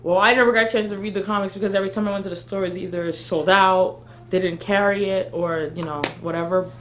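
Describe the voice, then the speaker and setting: A woman with a medium-pitched voice talks as co-host of a live fan vlog. Her delivery is conversational and opinionated, with loose informal phrasing and free swearing. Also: steady tone, fast talker, fairly bright tone. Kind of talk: casual live fan chat.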